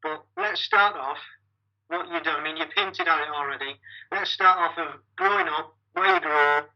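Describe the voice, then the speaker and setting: A man's voice: fairly high pitch, moderate pace. A man hosting a podcast, interviewing casually and encouragingly over dull, narrow call audio. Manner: encouraging, with frequent short acknowledgements.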